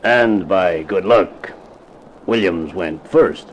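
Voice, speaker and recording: Low-voiced, needling police lieutenant. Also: male voice, slow pace. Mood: suspicious, needling. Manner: probing, sarcastic, insinuating. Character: suspicious, needling hard-boiled male police lieutenant. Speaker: actor playing police lieutenant. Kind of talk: 1950s radio crime drama acting. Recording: noisy old 1950s radio recording.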